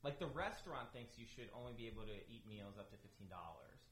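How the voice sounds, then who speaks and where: A man hosting a comedic interview chat, teasing his guests with blunt, deadpan jokes. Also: on the low side, fast, slight background noise. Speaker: host. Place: indoor room. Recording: echoey room.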